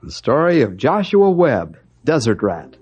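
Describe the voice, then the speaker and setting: A man playing an earnest storyteller, narrating an old-time radio adventure dramatically for young listeners in a husky voice. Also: mid-range voice, sing-song, unhurried, a little mellow, muffled recording. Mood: friendly, wrapping up. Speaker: narrator and actor.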